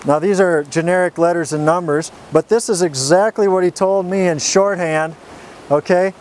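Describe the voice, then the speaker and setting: A man's voice: high voice, normal speed, a little mellow, a little hiss or hum. A man working as a flight instructor, giving an informal, straightforward tutorial with folksy step-by-step explanations. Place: outdoors.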